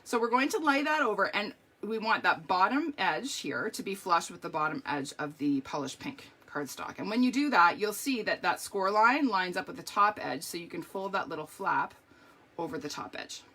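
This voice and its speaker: A middle-aged woman with a strained, hoarse voice, chatting through a live card-making tutorial with lots of ums and okays. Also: fairly low pitch, expressive, normal speed, pushed and bright.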